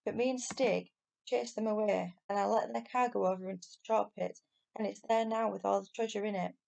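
A woman voicing an excitable, earnest and insistent young boy in a children's storybook reading. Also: medium pitch, brisk, creaky. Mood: excited.

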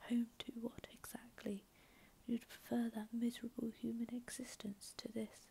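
A woman's voice: fairly high pitch, monotone, moderate pace, vocal fry, warm tone, a little hiss or hum. A woman whispering the lines of a stern male faerie lord with claws and fangs, in an ASMR storybook reading.

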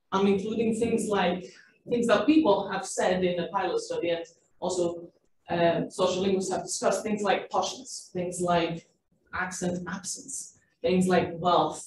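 A woman's voice: medium pitch, a little mellow. A woman researcher presenting her study at an academic seminar, explaining at length with fillers and self-corrections. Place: seminar room. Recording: echoey room, distant mic, faint hiss.

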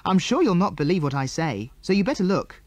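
A man voicing a plucky, quick-witted young girl in a children's audiobook, earnest, exclamatory and defiant. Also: high-pitched, big pitch swings, no echo, voice pushed up.

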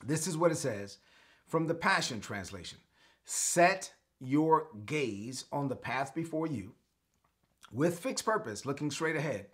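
A man's voice: on the high side, expressive, a little slow, fairly bright tone. A middle-aged man from Brooklyn, a pastor giving a live-streamed daily sermon from a home studio, earnest and declarative, repeating phrases for his viewers to echo. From Brooklyn, New York. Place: home studio with lit shelves.

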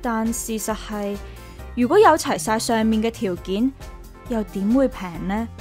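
A woman's voice: on the high side, measured pace, a little mellow, faint music behind, lightly acted. An actress playing a modest, wry woman renter in a radio drama, polite and understated, with a Hong Kong accent. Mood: wry, resigned. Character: modest, wry young woman renter, narrating her troubles. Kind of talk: radio drama dialogue and inner monologue.

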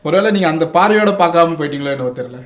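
A man's voice: on the high side, lively intonation, racing. A man interviewing in a conversational style.

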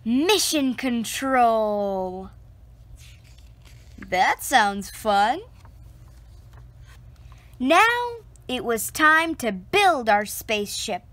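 Lively, slow-reading woman storyteller. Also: on the high side, no echo. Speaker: picture book reader. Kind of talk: reading a children's book aloud.

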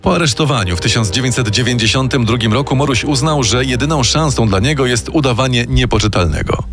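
A man narrating a scripted true-crime radio story in a measured, dramatic way. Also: medium pitch. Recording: narration over loud music.

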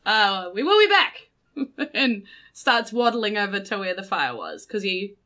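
A woman's voice: medium pitch, big pitch swings, unhurried, bright, forceful tone. A woman with a New Zealand accent chatting casually and playfully as a tabletop game player.

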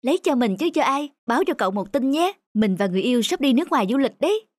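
A woman's voice: high voice, big pitch swings, quick pace, no room sound, clean studio sound. A woman voicing a chatty, upbeat and boastful young woman in a cartoon. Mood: cheerful, boastful. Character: chatty, cheerfully boastful young female friend. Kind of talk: cartoon dubbing.

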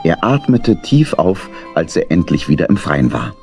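A man's voice: low-pitched, big pitch swings, normal speed, a little mellow, faint music behind. A man narrating a children's story in an audio drama as a scripted storyteller.

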